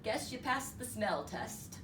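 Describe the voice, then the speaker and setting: A woman's voice: fairly high pitch, big pitch swings, slow, pitched above the performer's own voice. A woman playing a bemused small-town postmaster in a storybook, pondering aloud with dry humor. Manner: mumbling, pondering, dryly humorous. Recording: roomy, distant mic, noisy.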